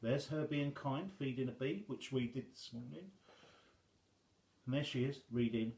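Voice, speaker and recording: A man reading a rhyming children's story aloud as an expressive storyteller. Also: mid-range voice, normal speed, gravelly, echoey room.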